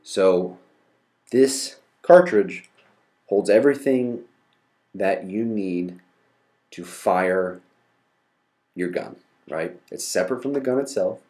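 A man's voice: on the low side, slow pace, pauses often, mellow. A man hosting a podcast and explaining basics step by step, congested from a cold. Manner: methodical, defines terms step by step. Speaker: podcast host.

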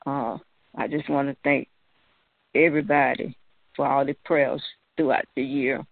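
A man's voice: mid-range voice, level tone, measured pace, gravelly, no echo. A man in a church thanking everyone and making heartfelt prayer requests on a conference call. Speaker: church member. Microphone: telephone. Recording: tinny, dry phone line.

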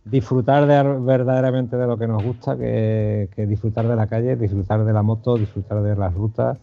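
A man's voice: on the low side, fairly even intonation, normal speed, vocal fry, muffled recording. A man from Huelva, a podcast guest who chats casually and enthusiastically, with many restarts. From Huelva, Spain. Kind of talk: casual chat.

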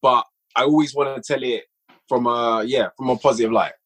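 A man's voice: mid-range voice, steady tone, brisk, a bit bright, breathy edge. A man appearing as a podcast guest and audio drama writer, talking in a laid-back, hedging way. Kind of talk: casual chat.

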